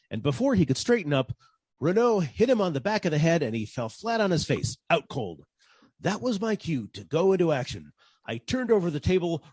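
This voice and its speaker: Male actor as wry 1940s private eye, fairly high voice, animated melody, dry wisecracks, old-time radio narration. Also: no room sound.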